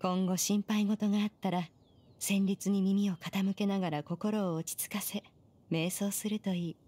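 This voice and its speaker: A woman's voice: mid-range voice, steady tone, unhurried, warm tone, close to an everyday voice. A woman playing a proud, aloof immortal master in an anime game, speaking in imperious, formal, archaic language. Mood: composed, lofty. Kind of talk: Japanese anime game voice acting.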